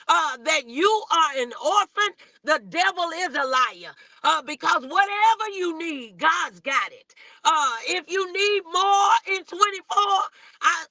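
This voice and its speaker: Female American preacher-host, high-pitched and very forceful, exhorting an online congregation, close dry sound. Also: no echo, rough recording. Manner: exhorting, calling on listeners with repeated rhetorical questions. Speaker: host and minister preaching morning motivation. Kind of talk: motivational sermon to an online audience.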